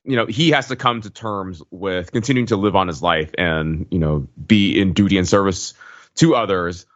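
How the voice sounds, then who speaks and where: A man co-hosting a podcast, explaining things casually in a halting way, repeating words as he forms thoughts. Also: sits low, no echo, muffled recording. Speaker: podcast co-host.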